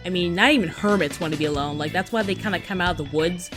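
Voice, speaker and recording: A woman's voice: on the low side, quick pace, faint music behind. A woman co-hosting a fan recap, talking casually and conversationally, with a giggly manner, as she comments on an episode.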